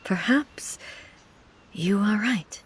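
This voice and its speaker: A woman's voice: mid-range voice, drawn-out words, a little hiss or hum. A woman playing a composed, kindly noble female ruler in a video game. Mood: soft, resigned. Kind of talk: video game story voice acting.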